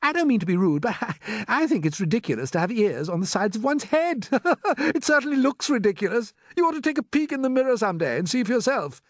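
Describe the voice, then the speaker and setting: A man's voice: very high voice, sing-song, brisk, no echo, clean studio sound, pitched above the performer's own voice, exaggerated voice. A man voicing a rude, grinning centipede from a storybook, brash and scornful, mocking everyone. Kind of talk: storybook character voice.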